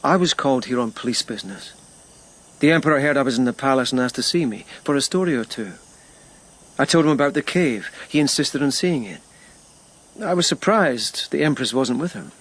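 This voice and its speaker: An actor playing a thoughtful, reserved police lieutenant in ancient Japan, speaking in reflective, halting phrases in a radio drama. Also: male voice, medium pitch, lively intonation, fairly bright tone. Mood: guarded, hurt. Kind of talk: naturalistic radio drama acting.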